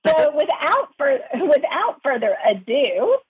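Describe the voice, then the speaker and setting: A woman's voice: high voice, big pitch swings, takes it slowly, dull audio. A woman co-hosting a business radio show, upbeat and giggly in playful banter. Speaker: radio co-host.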